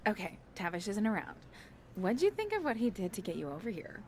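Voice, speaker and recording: An actress playing a friendly, slightly awkward backstage interviewer in a video game, casual and candid. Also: female voice, mid-range voice, huge pitch swings, a little fast, a little mellow, a little hiss or hum. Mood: curious. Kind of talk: video game cutscene acting.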